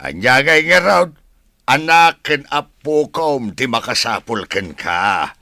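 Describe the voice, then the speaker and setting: A man playing a wry, grumbling Filipino husband and father in a radio serial, teasing and exclamatory, with a rough husky voice. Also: medium pitch, lively intonation, slow, pushed and bright, dry recording, clean studio sound, full character voice. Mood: wry objection. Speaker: radio drama actor.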